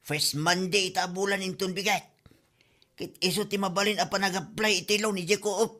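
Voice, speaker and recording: Bright, forceful, defensive Ilocano husband a man acting. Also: high-pitched, steady tone, fairly quick. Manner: defensive, retorting. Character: defensive husband answering his nagging wife. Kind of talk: Ilocano comedy drama podcast acting.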